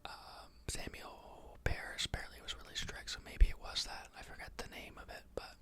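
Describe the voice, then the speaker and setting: An ASMR host who teaches, reading a book aloud and then thinking aloud in a soft, unhurried whisper with many pauses and fillers.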